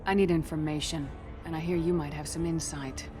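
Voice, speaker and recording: A woman's voice: sits low, steady tone, brisk, darkish tone, lightly acted. A woman playing a tough, blunt military commander in a video game, speaking firmly and to the point. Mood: stern. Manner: blunt, firm, businesslike. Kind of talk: video game dramatic acting. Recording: long reverb, music behind.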